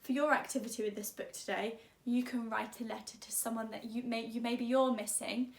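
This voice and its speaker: A woman teacher reading a children's story aloud, expressively and deliberately, drawing out words. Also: fairly high pitch, steady tone, creaky, reverberant.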